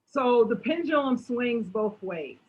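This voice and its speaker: A woman answering audience questions in a motivational talk, emphatic and animated, often tagging her points with "right?". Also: on the high side, takes it slowly, husky, lo-fi sound. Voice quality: strong voice.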